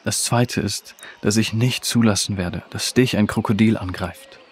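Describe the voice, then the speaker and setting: A protective, encouraging young guy in a children's radio play. His voice is fairly low, and he talks gently and sincerely. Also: fairly even intonation, measured pace, breathy edge. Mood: reassuring. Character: kind, protective, reassuring young male friend. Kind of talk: children's audio drama acting.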